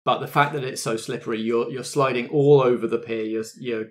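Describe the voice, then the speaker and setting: A game master with a mid-pitched voice runs a tabletop roleplaying session. The delivery is colloquial and encouraging, moving between rules and description. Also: fairly even intonation, a little fast.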